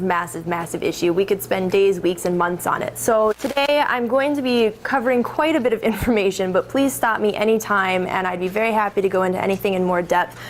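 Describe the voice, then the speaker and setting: A woman's voice: mid-range voice, slight background noise. Woman giving a public talk. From Minnesota. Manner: friendly, self-correcting, explanatory. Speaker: presenter.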